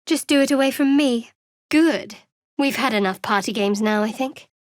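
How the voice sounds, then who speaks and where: Actress as guarded young female cleric, fantasy game. Higher register, dry sardonic delivery, studio-dry sound. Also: normal speed, no room sound, close to an everyday voice. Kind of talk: dramatic fantasy video game dialogue.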